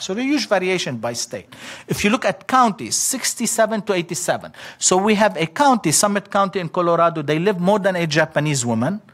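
A middle-aged man giving an academic conference talk in short, halting phrases with frequent fillers. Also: a little high, fairly bright tone. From Lebanon. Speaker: conference speaker. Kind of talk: academic slide presentation.

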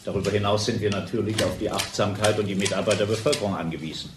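An actor playing a formal, measured police spokesman in a radio crime drama. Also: lowish voice, fairly even intonation, close to an everyday voice. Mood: sober, official. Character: police spokesman, sober and official. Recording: roomy sound with clear noise.

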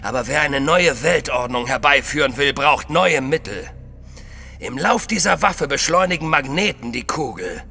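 An actor playing a fanatical traitor in an audio drama, boastful, menacing and theatrical. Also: male voice, medium pitch, lively intonation, normal speed, creaky, sharp tone, in a large hall, a little hiss or hum. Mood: smug menace. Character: fanatical, sneering male villain and traitor. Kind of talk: German audio drama acting.

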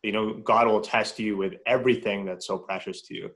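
A man's voice: on the low side, steady tone, fairly bright tone. A young adult man co-hosting a podcast interview, rambling with lots of fillers. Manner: fast, rambling, many fillers. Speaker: podcast co-host.

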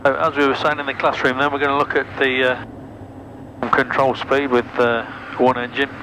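Loud aircraft noise surrounds a British man talking on a cockpit headset. He is a flight instructor, casually talking a student through things in a mid-pitched voice. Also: flat delivery, normal speed, low-quality recording. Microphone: aviation headset.

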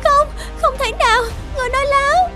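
A woman voicing a gentle, loyal young princess in a cartoon fairy tale, polite and earnest. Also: very high-pitched, brisk, soft background music. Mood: tearful.

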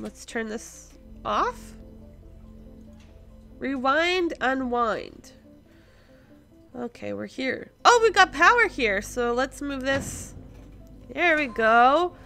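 A woman with a high, bright voice hosts a casual video game playthrough. She thinks aloud at an unhurried pace and breaks into exclamations of surprise. Faint music sits behind her. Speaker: host of a gaming series. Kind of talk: casual let's play commentary.

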